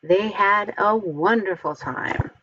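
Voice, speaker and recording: A woman reading a storybook aloud to young children, expressively and with deliberate, careful enunciation. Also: medium pitch, pushed and bright, highs cut off. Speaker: storybook reader.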